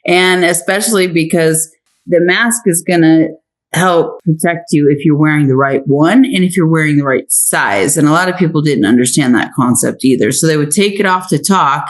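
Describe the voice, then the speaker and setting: A woman's voice: deep voice, moderate pace. A woman speaking as an interview guest in a casual, chatty way, telling anecdotes conversationally. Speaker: interview guest, actress and writer.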